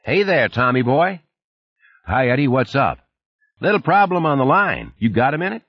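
A man's voice: medium pitch, sing-song, moderate pace, no echo, voice pushed up. A man reading an audiobook, putting on a pushy, folksy Texan plant supervisor with a twang. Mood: needling. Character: brash drawling Texan male plant supervisor. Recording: dull lo-fi audiobook recording.

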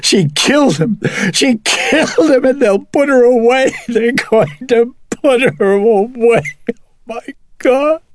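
A man voicing a distraught, despairing mother with a husky, quavering voice in an audiobook story. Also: low voice, big pitch swings, dark tone, pitched above the performer's own voice. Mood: hysterical, sobbing. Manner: emotional, haltingly tearful, erupting into shrieks. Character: distraught, despairing, furious mother of a troubled child.

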